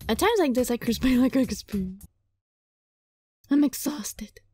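A woman playing a visual novel, reading it aloud dramatically and reacting casually in an animated, playfully exasperated way, sometimes stumbling over words. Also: high voice, normal speed, slightly rough, faint music behind.

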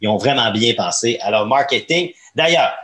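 Man, Quebec French accent, medium pitch, podcast host, enthusiastic, colloquial, casual chat, close mic. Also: lively intonation, normal speed, fairly bright tone. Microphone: close studio microphone on arm, headphones.

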